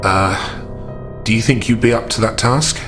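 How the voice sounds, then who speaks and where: Horror tabletop game role: gentleman investigator played by a man, deep, polite, hesitant, protective manner, over background music. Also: lightly acted. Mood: protective, grave. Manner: formal, measured, hesitant.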